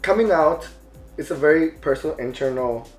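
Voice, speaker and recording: A man's voice: on the high side, normal speed. Thoughtful, hesitant man in echoey room. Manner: thoughtful, hesitant, many fillers. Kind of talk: casual conversation. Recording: echoey room with faint music.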